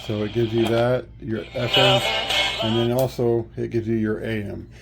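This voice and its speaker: A man's voice: mid-range voice, level tone, moderate pace, vocal fry, loud music behind the voice. A man giving a casual product demonstration, explaining it plainly step by step. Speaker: product reviewer. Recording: faint background noise, room ambience.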